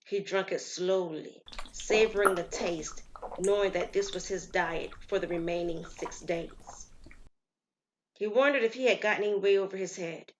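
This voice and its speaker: A woman narrating a scripted story as storyteller, in a measured, expressive way. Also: mid-range voice. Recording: slightly muffled, lo-fi.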